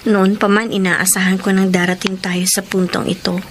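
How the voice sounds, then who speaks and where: A woman with a Filipino accent playing a shy, grateful young housemaid in a radio drama, speaking earnestly and with measured delivery. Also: mid-range voice, little pitch movement, background noise, lightly acted. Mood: earnest, tender. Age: young adult.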